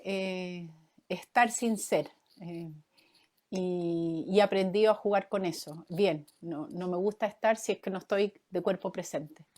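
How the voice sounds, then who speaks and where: A woman in her fifties from Chile, a guest answering interview questions in a thoughtful way, with frequent pauses and fillers. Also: mid-range voice, a little mellow. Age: 50s. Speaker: guest, former mayoral candidate and coach.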